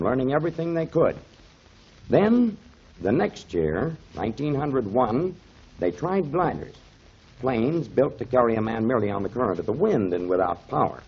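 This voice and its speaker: An actor playing a kindly, avuncular mentor explaining things to children in emphatic 1930s radio style, on a muffled old recording. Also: male voice, mid-range voice, breathy edge, exaggerated voice. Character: kindly grown man, storytelling mentor to children, pipe smoker.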